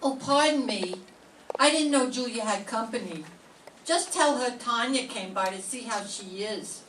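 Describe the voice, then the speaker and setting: A woman's voice: fairly high pitch, lively intonation, a little slow. An older woman playing a nervous, streetwise young cannery worker in a radio drama, chatty and wary. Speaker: amateur radio drama performer. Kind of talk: live reading of a 1940s radio drama. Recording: echoey room, distant mic, faint noise.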